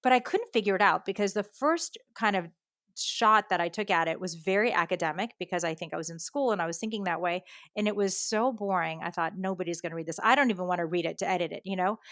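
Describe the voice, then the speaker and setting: Woman, forties, podcast guest, brisk candid storyteller, dry close sound, mid pitch. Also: fairly bright tone, no room sound. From United States. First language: Japanese. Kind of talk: podcast interview.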